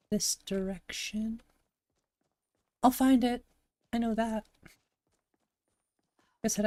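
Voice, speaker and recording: Gravelly-voiced woman streamer, dry close mic. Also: medium pitch, a little mellow, dry recording. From Montana, USA. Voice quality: gravelly, slightly raspy. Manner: chatty, thinks aloud, reads and answers chat. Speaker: game streamer. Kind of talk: casual live-stream commentary while playing.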